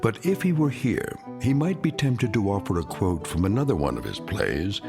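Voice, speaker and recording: A man's voice: fairly low pitch, big pitch swings, normal speed, gravelly. A man acting as a knowing, wry storyteller, narrating an eerie tale in scripted radio drama style. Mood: knowing, measured. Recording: music bed behind.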